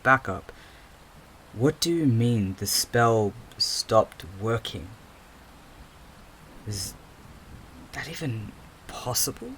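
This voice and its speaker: A man acting a hesitant psychic investigator in an audio drama, speaking in a halting, earnest, stammering way. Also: medium pitch, fairly bright tone, slight background noise, low-quality recording. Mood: shaken, pleading. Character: hesitant young male psychic investigator with lost memories.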